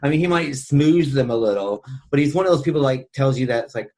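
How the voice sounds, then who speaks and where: Male podcast co-host, mid-pitched, casual and rambling, conversational film discussion with loose filler-heavy phrasing. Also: expressive, fast talker, a little mellow.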